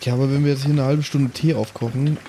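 A man's voice: medium pitch, fairly even intonation, fast talker, dark tone, slight background noise. A man chatting casually as a player in an improvised tabletop roleplaying game. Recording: music behind the voice. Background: background music.

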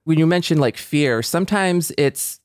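Guy hosting a podcast, crisp clear voice, conversational, dry close sound. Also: fairly high pitch, animated, moderate pace, a little mellow, dry recording. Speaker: podcast host. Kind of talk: conversational discussion.

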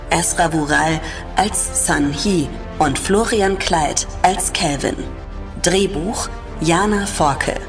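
A woman announcer reads scripted credits at a very slow pace. Her voice is fairly low, and music plays clearly beneath it. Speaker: announcer reading podcast credits. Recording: music under the voice.

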